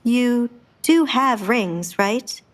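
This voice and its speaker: A woman's voice: fairly high pitch, animated, drawn-out words. Woman voicing a wary, muttering male priest. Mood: exasperated. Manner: muttering, dry, reproachful. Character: wary, exasperated, well-meaning male priest.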